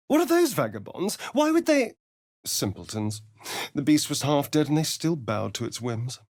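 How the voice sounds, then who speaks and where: A man's voice: medium pitch, no echo, studio quality. An actor playing a sly, vain, sardonic male vampire rogue in a video game, with an arch, drawling, theatrical delivery. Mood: disdainful. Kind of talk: theatrical video game character lines and narration.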